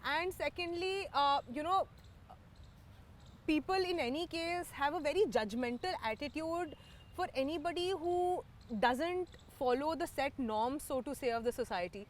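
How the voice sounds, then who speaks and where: A woman hosting an interview show, asking questions in polished, measured, formal phrasing. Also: sits high, lively intonation, slight background noise.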